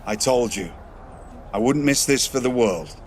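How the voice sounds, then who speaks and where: A man's voice: mid-range voice, animated, measured pace, slight background noise, lightly acted. A man playing a wry, proud, seasoned mentor in a wrestling game cutscene. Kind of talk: video game cutscene acting.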